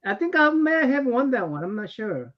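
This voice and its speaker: A man's voice: extremely high pitch, sing-song, normal speed, a crowd in the background. A man with a Korean accent chatting casually as a guest on a hangout. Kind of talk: casual chat. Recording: dull lo-fi call audio.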